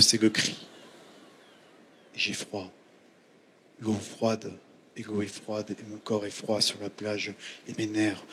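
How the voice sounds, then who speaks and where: A man reading his own poetry on stage, chanting short, repeated phrases with pauses between them. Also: lowish voice, a bit bright. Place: theatre stage at a festival. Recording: noisy live stage recording with ambience.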